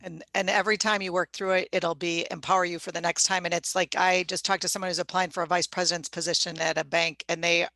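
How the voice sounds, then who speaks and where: A woman's voice: lowish voice, flat delivery, fast, hardly any pauses, pushed and bright, no room sound. A woman moderating a student panel, encouraging and often restarting her sentences as she introduces each question.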